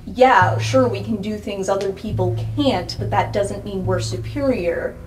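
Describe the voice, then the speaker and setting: A woman's voice: medium pitch, measured pace, slight background noise, rough recording. Woman playing a tabletop game, thinking aloud. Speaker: player in a tabletop roleplay game. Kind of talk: casual improvised roleplay chat.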